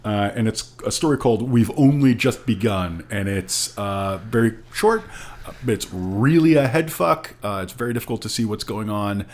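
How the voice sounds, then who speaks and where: A man's voice: lowish voice, expressive, normal speed. A man co-hosting a podcast, chatting casually in a wry, hesitant way. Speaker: podcast co-host.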